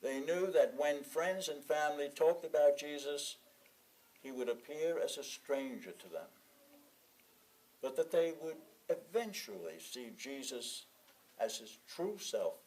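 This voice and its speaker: A man reading a sermon from a prepared text in a measured, deliberate way, leaning on key phrases and pausing between them. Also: medium pitch, expressive, a little mellow, rough recording. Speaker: sermon reader in a church congregation.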